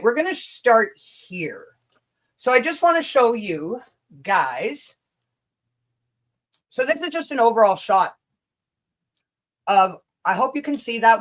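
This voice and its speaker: A Canadian woman with a medium-pitched voice talks casually to her livestream viewers. She rambles and mocks, stretching words for sarcastic emphasis and swearing freely. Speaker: livestream host. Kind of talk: casual, rambling livestream chat with mocking asides.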